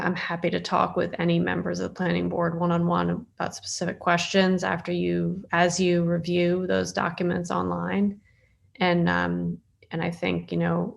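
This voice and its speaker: A woman chairing a meeting on a video call, speaking informally with frequent pauses mid-sentence. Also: on the low side, gravelly. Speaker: planning board chair. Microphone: video call microphone.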